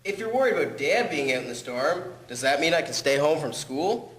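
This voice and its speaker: A man's voice: a little high, big pitch swings, brisk, fairly bright tone. A man playing a cheeky, reluctant teenage schoolboy in a 1920s radio play. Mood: wheedling, grumbling. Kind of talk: scripted audio drama acting. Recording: echoey hall reverb with faint noise.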